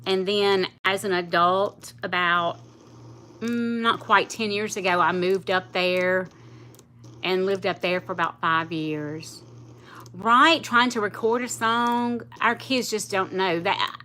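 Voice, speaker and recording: Woman, late fifties, livestream host; bright, chatty, rambling delivery. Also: medium pitch, steady tone, takes it slowly, slight background noise. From South Louisiana, US. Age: 50s. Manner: chatty, rambling, laughs easily. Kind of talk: casual livestream chat with viewers.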